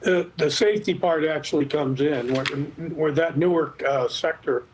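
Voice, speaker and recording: A middle-aged or older man giving expert commentary in a news interview, explaining things in a measured, hesitant way. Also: on the high side, slight background noise, low-quality recording. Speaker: expert guest, former air traffic controller.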